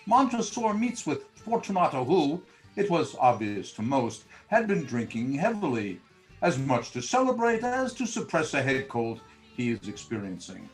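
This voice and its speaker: A male narrator delivers a scripted period tale dramatically. His medium-pitched voice moves with very lively intonation over faint music. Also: rough recording.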